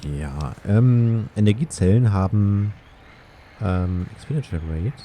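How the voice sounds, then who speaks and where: A man's voice: fairly low pitch, expressive, measured pace, dark tone, slight background noise. A man giving casual let's play commentary as he works through a video game. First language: German.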